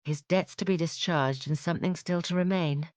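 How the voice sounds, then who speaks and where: A woman voicing a quick, anxious young woman who speaks with urgent, pleading insistence. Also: lowish voice, no echo, natural voice. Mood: grateful.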